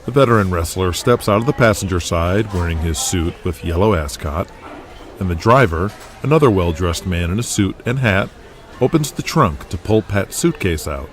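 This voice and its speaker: A man's voice: low-pitched, lively intonation, normal speed, soft background music. A man reading scripted narration for an audio drama as its narrator.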